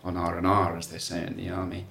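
A man's voice: sits low, monotone, fast talker, vocal fry, fairly bright tone. A middle-aged man from South Africa, chatting as a guest in a rambling, self-deprecating way with many fillers. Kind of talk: casual chat.

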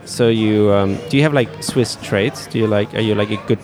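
A man's voice: lowish voice, a little fast, music in the background. A man co-hosting a casual interview, teasing and joking with the guest. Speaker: co-host.

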